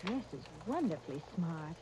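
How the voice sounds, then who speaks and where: A kind, earnest young woman in an old radio soap opera. Her voice sits a little low and she speaks with gentle, reassuring politeness, over the clear noise of a 1930s disc recording. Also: sing-song, a little fast. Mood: polite, admiring. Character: kind, earnest young fiancée training as nurse.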